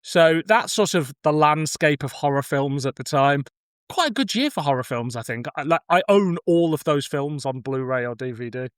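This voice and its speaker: A man's voice: fairly high pitch, lively intonation, moderate pace, no room sound, clean studio sound. A man chatting casually about films as a podcast co-host. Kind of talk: casual film discussion chat.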